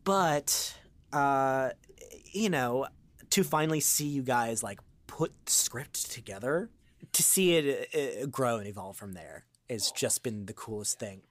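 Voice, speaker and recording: A man's voice: medium pitch, animated, a little slow, dry recording. A man chatting casually as an interview guest, rambling and informal with a goofy streak. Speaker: voice actor and improv comedian, podcast guest.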